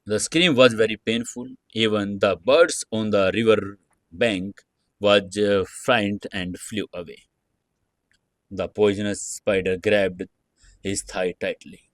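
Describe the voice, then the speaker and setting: A man narrating an audio story in a dramatic scripted style, with a halting delivery that stumbles over words. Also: lowish voice, fairly even intonation, dry recording.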